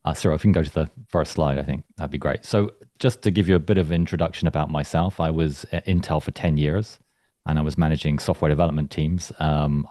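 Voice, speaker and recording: A man's voice: a bass voice, dark tone, no echo, studio quality. A man giving a chatty, self-deprecating presentation over a video call, often restarting his sentences. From England. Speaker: invited speaker, studio founder. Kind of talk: presentation to an online club.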